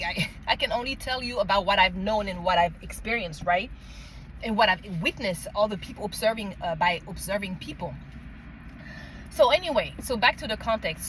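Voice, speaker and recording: French accent woman vlogging off the cuff, emphatic, mid pitch, bit of noise in the background. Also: fairly bright tone, poor audio. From France and Mali. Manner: emphatic, often checks in with "okay?" and "right?". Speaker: spiritual vlogger sharing personal story. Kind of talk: casual unscripted personal storytelling.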